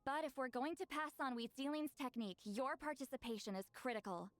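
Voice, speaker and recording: Woman playing a proud, higher-voiced brusque shaman. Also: brisk, in a large hall, natural voice. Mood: matter-of-fact.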